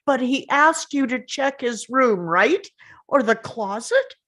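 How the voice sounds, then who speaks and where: A woman playing an anguished, confrontational woman in a dramatic table read, pressing for answers in a halting, interrupting way. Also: high voice, lively intonation, a bit bright, full character voice. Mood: probing, incredulous.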